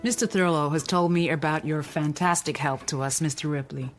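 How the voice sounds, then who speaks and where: A woman's voice: low voice, brisk, faint music behind, natural voice. An actress playing a wealthy, middle-aged mother with cool poise in a radio drama, polished and politely insinuating. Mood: gracious, guarded.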